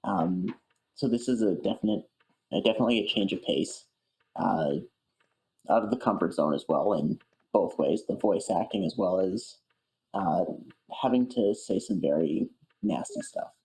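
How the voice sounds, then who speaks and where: A man's voice: sits low, lively intonation, normal speed, warm tone, low-quality recording. A man being interviewed in a casual chat, speaking in a hesitant, thoughtful way. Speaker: interview guest, amateur voice actor. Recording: music playing behind. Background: background music.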